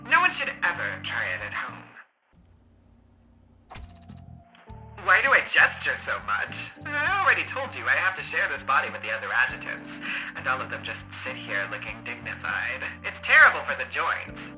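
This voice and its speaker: A woman voicing a brash, flamboyant, chatty female android court adjutant in a video game, loud and theatrical. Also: extremely low pitch, sing-song, a little fast, soft background music, heavily acted, poor audio. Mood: animated, breezy. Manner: loud, theatrical, swooping, playful. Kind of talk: video game character voice acting.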